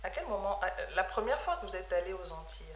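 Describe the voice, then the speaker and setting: A woman's voice: mid-range voice, big pitch swings, fast talker. A woman hosting a casual TV interview, asking questions in an informal, hesitant way. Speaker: TV show host. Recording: echoey room with faint hiss.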